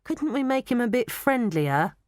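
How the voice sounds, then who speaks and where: Storybook lead: young girl, voiced by a woman, high voice, polite yet bossy, sharp-witted, firm. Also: big pitch swings, moderate pace, no echo, clean studio sound. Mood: curious.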